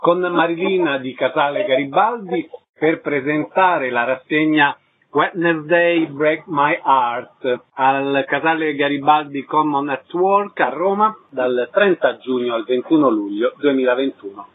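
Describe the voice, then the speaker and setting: A man's voice: on the high side, measured pace, a little hiss or hum. A man hosting a radio interview. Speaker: radio host interviewing guests. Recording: phone-like narrow-band radio sound.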